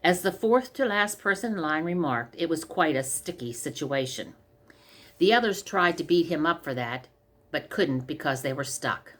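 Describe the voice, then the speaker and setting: A woman narrates a children's storybook from a script. Her voice sits somewhat low as she reads the tale aloud. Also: lively intonation, normal speed. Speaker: story reader.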